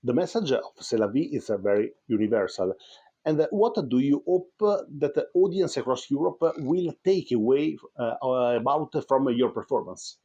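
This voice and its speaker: A man's voice: medium pitch, animated, normal speed, mellow, slightly rough, lo-fi sound. A man interviewing a singer, asking his questions hesitantly with many fillers.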